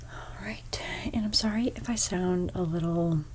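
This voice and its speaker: A young woman with a stuffy nose giving an ASMR haircut role play as a hairstylist. She speaks softly, often slips into whispers, and makes gentle salon small talk. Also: lowish voice, measured pace, slight background noise. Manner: soft-spoken, drifting into whispers.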